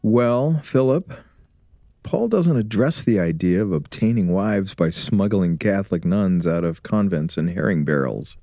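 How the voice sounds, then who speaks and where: A man playing a warm, teasing, scholarly husband and father in a radio drama, with a measured, preacherly way of explaining. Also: low-pitched, expressive, dry recording, clean studio sound, faint music behind. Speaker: actor in an audio drama.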